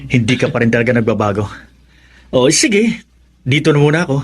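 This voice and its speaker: A male houseguest in a radio drama, polite, bashful and grateful. His voice sits at an ordinary middle pitch. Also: very animated, brisk, breathy edge. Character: bashful, grateful visiting cousin, man. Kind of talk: Tagalog radio drama acting.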